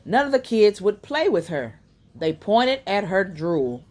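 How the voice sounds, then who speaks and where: A woman reading a children's picture book aloud as a bedtime story, in a deliberate storytelling manner. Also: mid-range voice, big pitch swings, a little mellow.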